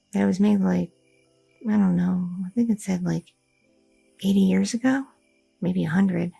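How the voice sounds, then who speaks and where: A woman's voice: mid-range voice, creaky, mellow, husky, no echo, full character voice, low-quality recording. A woman voicing a curious, chatty young girlfriend in an ASMR roleplay, rambling with many fillers and nervous questions. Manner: rambling, inquisitive, filler-heavy talk.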